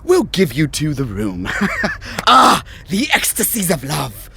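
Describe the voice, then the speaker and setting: Pompous, swooping high-voiced royal patriarch. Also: male voice, huge pitch swings, takes it slowly, creaky, noisy background, exaggerated voice. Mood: effusive, pompous. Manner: flowery, pompous, theatrical. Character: effusive, smooth-talking royal patriarch in a modern court. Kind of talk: audio drama acting.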